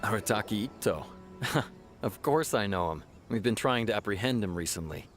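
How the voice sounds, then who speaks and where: A man's voice: on the low side, expressive, moderate pace, over music, natural voice. A man playing a confident lawman with an assured, official manner in a video game.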